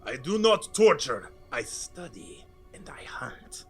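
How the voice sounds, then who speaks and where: A man playing a menacing, boastful hunter villain in a superhero table read, theatrical and measured. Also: on the high side, very animated, a bit bright, faint music behind, exaggerated voice. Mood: proud, menacing. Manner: theatrical, measured, drawn-out delivery.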